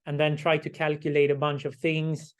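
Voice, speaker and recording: A middle-aged man, hoarse and losing his voice, giving a class lecture and explaining each step slowly, often checking with "right?". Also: on the high side, completely flat delivery. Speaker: instructor.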